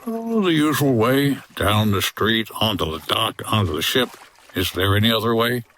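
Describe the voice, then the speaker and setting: A man's voice: lowish voice, animated, moderate pace, a bit bright. A man playing a wry, tough 1950s boat skipper in a comedy audio drama, talking in a clipped hardboiled way. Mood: cool, sardonic.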